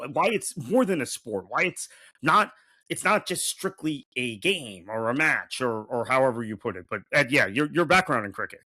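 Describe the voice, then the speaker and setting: A man hosts a podcast in a casual, halting way, often repeating words. His voice is bright and forceful, recorded close with no room sound. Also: medium pitch, dry recording. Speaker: podcast host.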